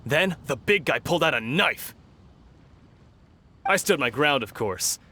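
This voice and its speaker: Casting: boastful video game fighter, highish forceful voice, very lively delivery. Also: male voice, measured pace, exaggerated voice. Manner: bragging, theatrical. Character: boastful amateur brawler, a cocky man telling tall tales. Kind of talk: English video game voice acting.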